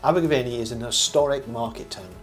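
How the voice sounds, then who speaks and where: An older man reading a scripted review voice-over as host, in a measured, formal presenter manner. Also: medium pitch. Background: background music.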